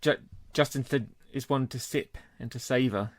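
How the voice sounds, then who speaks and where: A man co-hosting a beer podcast, talking in a relaxed, casual way and cursing freely. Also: mid-range voice, moderate pace. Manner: relaxed, laid-back, swears casually. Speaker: podcast co-host. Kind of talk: casual chat.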